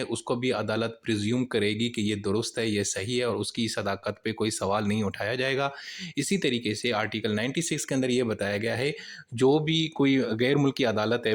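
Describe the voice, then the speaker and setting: Man, Pakistani accent, medium pitch, informal lecturer, plain step-by-step rephrasing, conversational tag phrases. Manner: explains by rephrasing each point plainly. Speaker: law lecturer.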